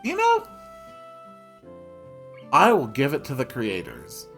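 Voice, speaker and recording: Very animated man narrating over music. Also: medium pitch, slow. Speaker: host reading a visual novel aloud. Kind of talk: expressive narration with casual asides.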